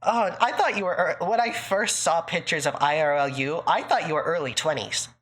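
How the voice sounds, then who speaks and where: Husky laughing woman hosting a stream. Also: deep voice, brisk. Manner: laughs often, teasing and upbeat. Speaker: stream host. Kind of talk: casual group chat on a livestream.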